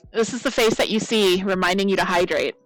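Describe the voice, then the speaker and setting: A woman chatting casually with viewers as the host of a crafting stream, her voice a bit croaky. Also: medium pitch, no echo. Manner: chatty, rambling, greets viewers by name. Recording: loud blown-out mic.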